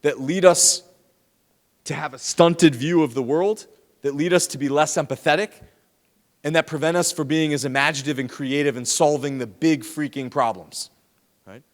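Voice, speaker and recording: Lively lecturer in a reverberant hall. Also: male voice, on the high side, normal speed. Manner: lively, teasing, talks straight to the audience. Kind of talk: live lecture to students. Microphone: handheld microphone.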